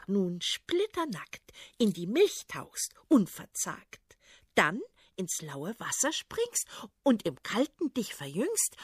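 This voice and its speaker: A woman's voice: medium pitch, breathy edge, no room sound, clean studio sound, full character voice. A woman doing a haughty young sea princess. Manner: mocking, commanding.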